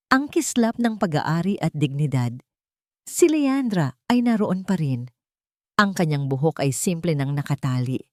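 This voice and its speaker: A woman storyteller speaks in a fairly low voice that rises and falls a great deal. She narrates solemnly and sentimentally, with deliberate phrasing. The sound is dry, with no room around it. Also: a little mellow, no room sound. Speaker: storyteller, host of an audio story channel. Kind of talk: scripted dramatic story narration.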